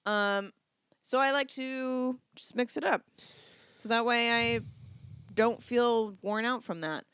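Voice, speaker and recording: Bright, clear-voiced woman podcast co-host. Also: fairly high pitch, takes it slowly, no echo. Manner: playful, teasing. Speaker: podcast co-host, dance teacher. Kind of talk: casual banter and advice.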